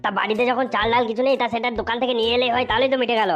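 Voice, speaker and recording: A woman's voice: on the high side, fairly even intonation, very fast, lo-fi sound, faint music behind, lightly acted. A woman voicing a bullying, foul-mouthed husband in a comedy cartoon, ranting and threatening with a West Bengal accent. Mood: indignant. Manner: ranting, threatening, repeats himself. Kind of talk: amateur cartoon comedy squabbling.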